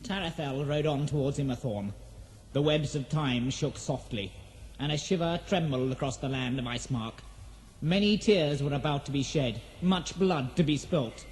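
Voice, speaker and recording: A man's voice: on the high side, moderate pace, darkish tone, slight background noise, poor audio. A man narrating a fantasy audio drama in a solemn, theatrical storytelling manner.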